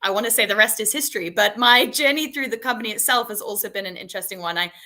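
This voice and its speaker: British woman, young, bright and clear tone, friendly chatty live-stream guest. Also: medium pitch, fast talker. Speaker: head of marketing operations. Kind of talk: casual live-stream chat.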